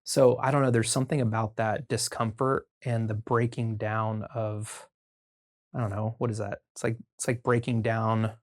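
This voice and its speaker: An American man chatting casually as a podcast guest, telling stories informally and haltingly as he thinks aloud. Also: on the low side, little pitch movement. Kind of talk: casual conversational storytelling.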